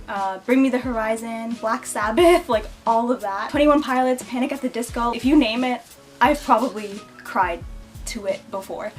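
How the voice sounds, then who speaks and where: A young woman telling childhood stories and facts about herself to camera in a chatty, giggly way, putting on playful voices when she quotes people. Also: fairly high pitch. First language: English. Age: young adult. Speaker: beauty and lifestyle vlogger. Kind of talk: casual storytelling to camera.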